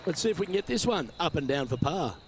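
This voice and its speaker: A man's voice: on the high side, animated, fast, a crowd in the background, background noise. A man voicing a composed golf broadcast anchor in a video game, calling play as lead commentator. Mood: composed, upbeat.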